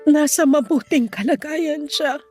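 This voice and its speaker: A woman playing a sick, tender grandmother of about sixty in a Tagalog radio drama, pleading gently and sorrowfully. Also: high voice, unhurried, soft background music. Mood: tearful longing.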